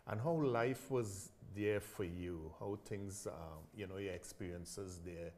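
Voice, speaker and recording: Male host, middle-aged, from Trinidad, low register; friendly, encouraging, quick to laugh. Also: a little mellow, a little hiss or hum. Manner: laughs often, encouraging backchannels. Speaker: talk show host. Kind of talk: community TV interview.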